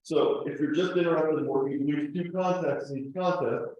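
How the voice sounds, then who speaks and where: A man's voice: fairly high pitch, fairly even intonation, brisk, darkish tone, highs cut off. Man presenting from across an echoey room. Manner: explanatory, with frequent fillers. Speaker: club presenter. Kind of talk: club meeting slide presentation. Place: club meeting room. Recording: distant, roomy meeting-room recording.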